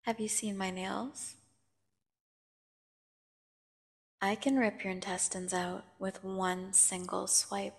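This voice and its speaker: A woman playing a menacing, seductive vampire prisoner in an ASMR audio drama, taunting and condescending with theatrical pauses. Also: medium pitch, steady tone, gravelly. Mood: threatening, seductive. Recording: long cavernous reverb.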